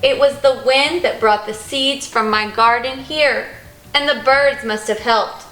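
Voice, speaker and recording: A woman voicing a gentle, earnest elderly woman from a children's storybook. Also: a little high, fairly even intonation, measured pace, reverberant, low-quality recording. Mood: delighted wonder.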